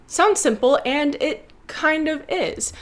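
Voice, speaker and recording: A woman's voice: high-pitched, lively intonation, slow pace. A woman hosting a video essay, narrating a scripted lesson and playfully addressing viewers like a schoolteacher.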